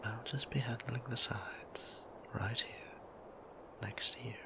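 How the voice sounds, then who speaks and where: A man whispering as a gentle vampire priest. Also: medium pitch, steady tone, takes it slowly, exaggerated voice. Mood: tender. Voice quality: soft whisper, gravelly. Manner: formal, old-fashioned phrasing, gently teasing. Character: gentle formal male vampire priest, doting. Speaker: voice actor. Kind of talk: whispered ASMR roleplay.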